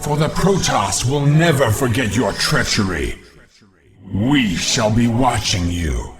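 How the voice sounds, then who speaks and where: A man's voice: medium pitch, animated, takes it slowly, a crowd in the background. A man voicing a proud, stern alien warrior leader, solemn and vengeful, in a video game. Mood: stern warning. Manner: solemn, declamatory. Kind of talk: video game cutscene acting. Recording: loud battle din behind.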